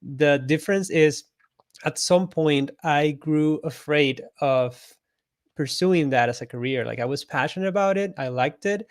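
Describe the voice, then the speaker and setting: A man's voice: on the high side, unhurried, dry recording, studio quality. A man from Costa Rica, a guest on an interview show, telling his story in a friendly, reflective way and laughing often. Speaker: guest, illustrator and artist coach.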